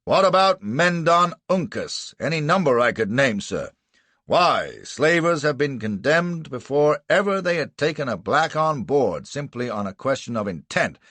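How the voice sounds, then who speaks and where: A man's voice: lowish voice, very animated, no echo, voice pushed up, heavily acted. A man voicing an aggressive prosecuting lawyer who drags out his pointed questions. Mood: hectoring. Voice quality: hectoring, forceful, drawn-out questioning.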